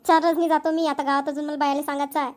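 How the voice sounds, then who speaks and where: Woman playing high, fast-talking Marathi village mother. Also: level tone, natural voice. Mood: brisk, insistent. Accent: Vidarbha Marathi. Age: middle-aged. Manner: hurried, repetitive, homely. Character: busy village mother rushing to invite neighbours to engagement. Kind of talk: amateur cartoon comedy dialogue.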